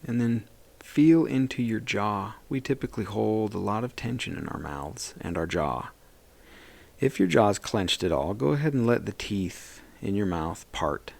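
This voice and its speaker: A man leading a guided meditation as a therapist, speaking in a gentle, soothing and reassuring way. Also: lowish voice, lively intonation, unhurried, gravelly.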